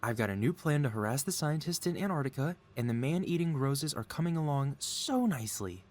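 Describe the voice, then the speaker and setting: A man's voice: medium pitch, expressive, fairly quick, a little mellow, lightly acted. A man playing a gleeful, lovesick supervillain mad scientist in an audio roleplay, melodramatic and wheedling with an air of feigned innocence. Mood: gleeful, doting. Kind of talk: ASMR audio roleplay, scripted character acting.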